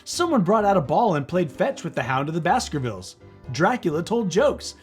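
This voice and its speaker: A man's voice: high voice, big pitch swings, brisk, soft background music. A man reading a children's book aloud as theatrical narration, dramatic and playful.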